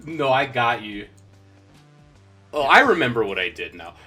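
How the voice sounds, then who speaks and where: A man's voice: medium pitch, big pitch swings, moderate pace, pushed and bright, slightly rough, faint music behind. A man making casual, wry game commentary and chatting in short interjections.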